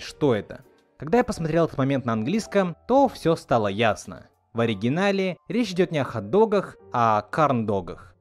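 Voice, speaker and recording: A man narrating a scripted video essay as a host, with a commentator's delivery. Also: on the high side. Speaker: video host reviewing film dubbing. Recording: voice-over with music underneath. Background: background music.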